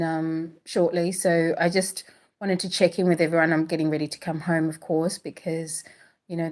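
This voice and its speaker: A woman raised in Australia with a British accent, talking casually and haltingly on a livestream from an apartment, full of pauses and fillers. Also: lowish voice. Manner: hesitant, many pauses and fillers. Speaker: livestream host. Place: indoors in an apartment.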